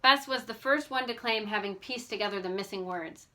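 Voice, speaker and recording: A woman reading a novel aloud as an amateur audiobook narrator, in an expressive storytelling style. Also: medium pitch, fairly quick.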